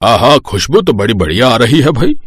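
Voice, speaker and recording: A man's voice: mid-range voice, big pitch swings, normal speed, a bit bright, slightly rough, dry recording. A man as a jovial older father-in-law. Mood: hearty, eager. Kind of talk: acted Hindi family storybook dialogue.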